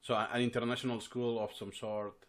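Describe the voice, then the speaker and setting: A man from Spain interviewing casually, asking halting questions with brief prompts. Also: mid-range voice, little pitch movement, a crowd in the background.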